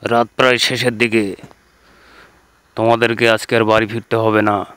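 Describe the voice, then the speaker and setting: A man's voice: fairly low pitch, level tone, moderate pace, fairly bright tone, smooth, dry recording, close to an everyday voice. A man playing a stern, protective holy hermit who admonishes with authority in a children's fairy-tale cartoon.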